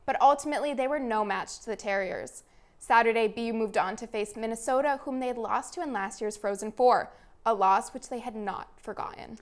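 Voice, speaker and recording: A young adult woman working as a student sports anchor, reading scripted sports news in a measured, presentational newsreader delivery. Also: fairly high pitch.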